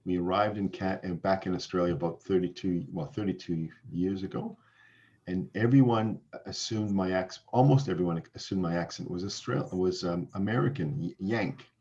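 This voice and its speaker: Deep-voiced Canadian man on a dull call. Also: a little mellow. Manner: halting, many restarts and fillers. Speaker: company founder presenting. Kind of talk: seminar Q&A answers. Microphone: video call. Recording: dull lo-fi video call audio.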